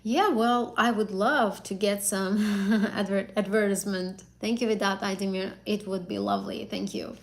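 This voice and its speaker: A woman's voice: medium pitch, fairly even intonation, normal speed, hardly any pauses. A young woman from Azerbaijan, whose first language is Azerbaijani, answering viewers' questions on a live stream as an English exam tutor, chatty and often rephrasing herself. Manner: chatty, repeats and rephrases, many fillers.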